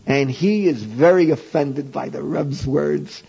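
An older man with a gravelly, husky voice holds forth as a rabbi and teacher, spinning an anecdote for his students in a loose lecture style. He gets loud and animated whenever he quotes his own past lines. The sound is dull and lo-fi, like an old archival tape. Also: fairly high pitch, takes it slowly. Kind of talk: informal lecture storytelling.

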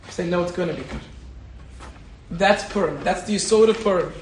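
A man, a rabbi, giving an animated Torah lecture and telling a personal story, repeating key phrases for emphasis. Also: high voice, brisk, reverberant, slight background noise. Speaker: rabbi teaching a Torah class.

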